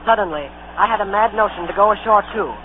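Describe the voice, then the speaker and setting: High-pitched male-acted young adventurer narrating, tinny radio. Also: quick pace, noisy background, lightly acted. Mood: grave storytelling. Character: adventurous boy narrator recalling his tale. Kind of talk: dramatic scripted narration, old radio drama. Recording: tinny vintage radio broadcast with music.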